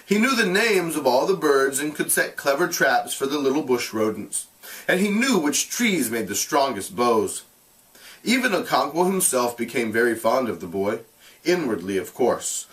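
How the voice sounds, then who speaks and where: A man narrates in an expressive read-aloud style, his pitch moving widely around a middling register. He speaks deliberately, like a teacher explaining a text. The microphone sounds a little way off from him. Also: far from the mic, poor audio. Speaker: teacher reading a novel aloud.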